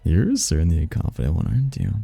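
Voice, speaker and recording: An actor voicing a suave, flirtatious male demon in an ASMR roleplay, with a low, husky, gravelly voice and a teasing, smooth-talking manner. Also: wildly swooping pitch, fast talker, mellow, dry recording, soft background music, heavily acted. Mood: flirtatious, charming.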